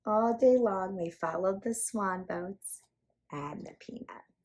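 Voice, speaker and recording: A woman hosting a children's storytime, reading a picture book aloud with animated, playful emphasis. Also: mid-range voice, takes it slowly. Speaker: children's storytime reader and host.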